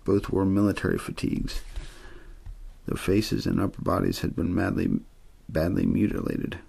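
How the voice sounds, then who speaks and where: Low gravelly man reading a quiet bedtime story. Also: flat delivery, moderate pace, poor audio. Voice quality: gravelly, husky. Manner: low-key, untheatrical narration. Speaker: audiobook-style story reader. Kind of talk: ASMR bedtime reading of a horror novel.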